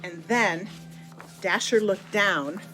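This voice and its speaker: A woman narrating a children's picture book in an expressive, deliberate storytelling manner with dramatic pauses. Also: medium pitch, over music, poor audio. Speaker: storybook reader.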